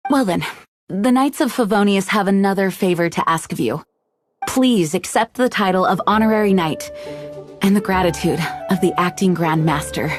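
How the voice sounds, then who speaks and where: A woman voicing a dignified, earnest young knight commander in a video game, speaking in a formal, measured way. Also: medium pitch, close to an everyday voice. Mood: solemn, grateful. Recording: music behind the voice.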